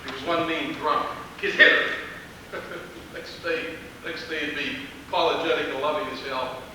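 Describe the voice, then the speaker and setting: Distant, noisy recording in a hall with long reverb. A man acts as a resentful, indignant young man in a stage play. His voice is fairly high and his manner accusing. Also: steady tone, moderate pace, sharp tone. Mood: bitter. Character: bitter young man, indignant son of a dead painter. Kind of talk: amateur stage play acting.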